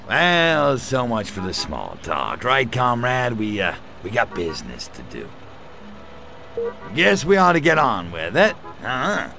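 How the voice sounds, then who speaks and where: An actor playing a cocky, wisecracking male alien invader with a gravelly, slangy drawl in a video game. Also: mid-range voice, wildly swooping pitch, slow, background noise, full character voice. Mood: sly. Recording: game audio with faint music.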